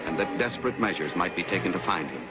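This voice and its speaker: A man's voice: deep voice, expressive, fast, low-quality recording. A man narrating a 1950s television adventure story in a dramatic, scripted storytelling manner. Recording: old 1950s TV soundtrack with music.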